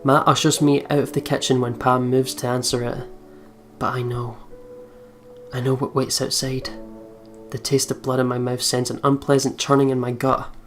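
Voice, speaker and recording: A man hosting a let's play, reading visual novel narration aloud in an expressive storytelling way. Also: mid-range voice, moderate pace, music in the background.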